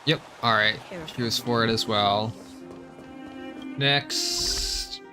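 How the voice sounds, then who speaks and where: A man's voice: lowish voice, fairly even intonation, takes it slowly. A man giving casual gameplay commentary as a streamer, musing aloud in an offhand way. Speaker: game streamer. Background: background music.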